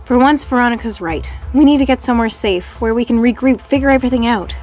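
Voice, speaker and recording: An actress playing a brisk, sensible, take-charge teenage girl in an audio drama. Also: on the high side, darkish tone, faint music behind, close to an everyday voice. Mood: firm.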